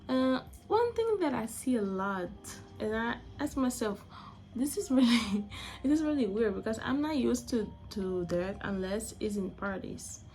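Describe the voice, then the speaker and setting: Young female vlogger from Angola, Portuguese first language, gravelly, restarts phrases a lot. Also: medium pitch, a little mellow. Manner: chatty, searching for words, restarts phrases. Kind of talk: casual opinion vlog.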